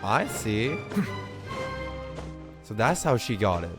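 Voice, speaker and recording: A man streaming a game and reacting casually, with drawn-out, incredulous exclamations. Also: fairly low pitch, big pitch swings. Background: soft game music.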